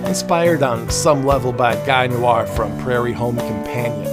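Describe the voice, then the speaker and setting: A man hosting a podcast, reading scripted narration with dry, playful humor. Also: on the high side. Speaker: podcast host.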